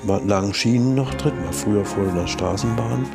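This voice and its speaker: An older man reminiscing in a documentary interview, halting as he repeats and rephrases. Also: lowish voice, over loud music. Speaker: studio eyewitness interviewee, sound engineer.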